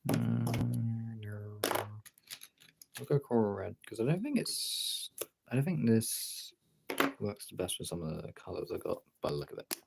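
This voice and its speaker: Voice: medium pitch, animated, measured pace, creaky, long pauses, heavy background noise. A British streamer chatting casually and informally to viewers, thinking aloud and swearing now and then. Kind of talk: casual livestream chat.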